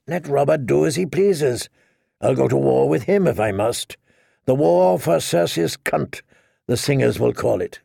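A man voicing a cocky, cruel highborn knight with a mocking, sneering drawl for an audiobook. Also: lively intonation, a little slow, creaky, dark tone, no room sound, studio quality, full character voice. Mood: defiant.

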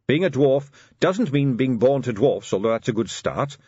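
A man's voice: mid-range voice, animated, moderate pace, breathy edge, no room sound, muffled recording, voice pushed up. A man voicing an earnest, eager young male captain who speaks politely in a raised voice. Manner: earnest, polite, lifted and bright.

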